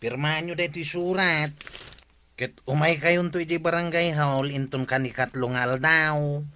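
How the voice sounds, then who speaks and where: Radio comedy villager, Ilocano accent, higher-pitched edgy voice, pointed and insistent. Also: male voice, fairly even intonation, moderate pace, sharp tone, clear, resonant tone.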